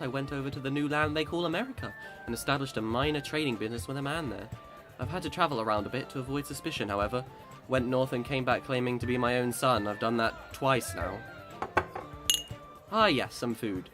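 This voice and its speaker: A man's voice: mid-range voice, close to an everyday voice. A man acting in a radio drama as a boastful immortal Englishman who swings between cheer and despair, with theatrical old-time speech. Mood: jovial, boastful.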